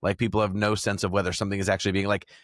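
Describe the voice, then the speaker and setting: An American man with a fairly low voice hosts a casual podcast discussion. He sounds close and dry, with very little room around him. He talks conversationally, as a host putting questions and opinions to the group. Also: little pitch movement, racing, dry recording.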